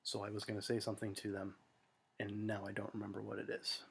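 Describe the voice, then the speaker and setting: A man's voice: lowish voice, completely flat delivery, fast, gravelly, a bit bright, a little hiss or hum. An American man talking to friends in a rambling, self-deprecating monologue full of fillers and restarts, recorded at home. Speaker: vlogger.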